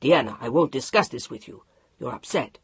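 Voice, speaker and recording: A woman voicing a controlling husband who speaks quietly and firmly. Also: expressive, normal speed, husky, no echo, full character voice. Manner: low, firm, unbending.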